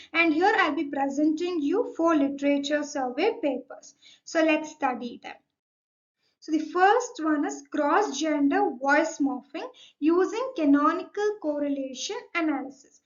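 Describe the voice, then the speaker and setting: A young woman gives a formal, scripted academic presentation, explaining point by point as a student presenter. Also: high voice. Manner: formal, explanatory, starts sentences with "so". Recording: dull, muffled lo-fi audio.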